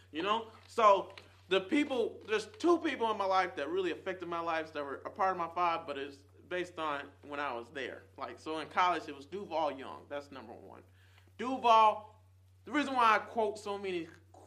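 A man in his twenties talking casually and earnestly to his viewers, rambling and swinging between loud bursts and quiet trailing asides. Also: on the high side. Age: 20s. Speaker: vlogger. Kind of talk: casual chat to camera.

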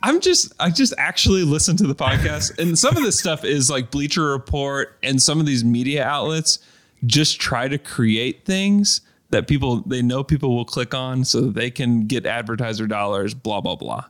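A man's voice: medium pitch, expressive, normal speed, fairly bright tone. A man co-hosting a sports podcast, giving opinions in casual banter. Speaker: podcast co-host.

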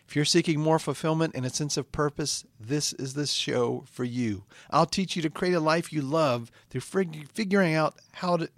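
Man playing a deadpan, exasperated damned podcast host. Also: a little high, normal speed, few pauses, dry recording, close to an everyday voice. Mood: earnest, lofty. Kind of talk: scripted comedy podcast.

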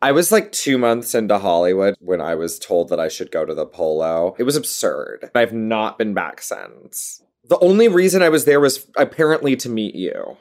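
A woman's voice: a bass voice, very animated, moderate pace, a little mellow. A woman in her late twenties, a guest in a casual interview, talking playfully and laughing often. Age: 20s.

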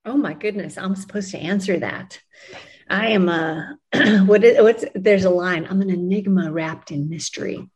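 A woman's voice: medium pitch, moderate pace, darkish tone. Woman guest from New Mexico; easygoing, chatty delivery full of ums and laughs. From New Mexico, USA. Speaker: podcast guest, music publicist. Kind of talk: casual conversational interview.

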